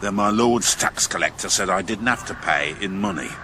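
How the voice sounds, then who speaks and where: An actor playing a weary, plain-spoken outlaw guard, a former farmer who is decent at heart, in a radio drama. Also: male voice, deep voice, expressive, normal speed, noisy background. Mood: weary, reflective.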